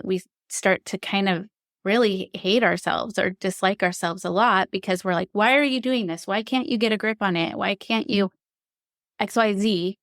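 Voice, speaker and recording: Smooth-voiced affirming woman host. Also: medium pitch, no room sound, studio quality. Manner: affirming, empathetic, asks open questions. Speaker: podcast host. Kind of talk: conversational interview.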